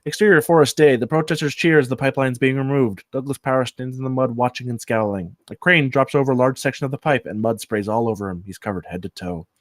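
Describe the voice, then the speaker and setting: A man's voice: medium pitch, fast talker, hardly any pauses, dry recording. A man reading out stage directions as narrator at a screenplay table read.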